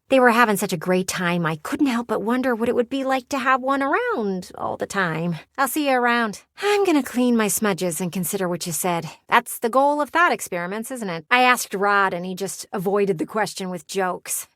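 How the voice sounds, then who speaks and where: An actress voicing a playful, sardonic living window in a video game, with a teasing, theatrical and blunt delivery. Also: female voice, mid-range voice, lively intonation, fairly bright tone, dry recording, clean studio sound, close to an everyday voice. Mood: wistful, curious. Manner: teasing, theatrical, blunt, sighs and drawn-out words. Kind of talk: video game character voice acting.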